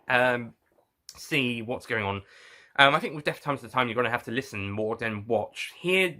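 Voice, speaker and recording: Guy doing an off the cuff review, really bright forward voice, kinda rambly, keeps rewording stuff. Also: mid-range voice. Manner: halting, rambling, often rephrases himself. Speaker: review show host. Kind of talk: unscripted video review, casual talk to viewers.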